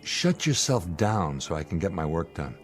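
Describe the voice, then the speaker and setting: Low-voiced, sarcastic American spaceship commander. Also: male voice, big pitch swings, moderate pace, faint music behind. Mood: weary irritation. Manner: sarcastic, wry, needling. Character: sarcastic, irritable male spaceship commander, hard-working. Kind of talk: radio drama acting.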